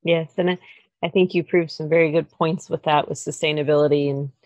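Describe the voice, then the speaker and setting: A woman hosting a podcast interview, asking questions in a measured, polite, conversational way. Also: low voice, steady tone, darkish tone, no echo, highs cut off. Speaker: podcast host.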